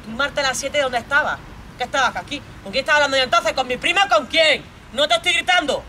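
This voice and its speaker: A woman's voice: sits high, fast talker, sharp tone, a little hiss or hum. A woman voicing a jealous, bullying young boyfriend from a stage monologue, with a Spain accent, domineering and badgering with plenty of swearing. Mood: accusing. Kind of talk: theatrical monologue role-play.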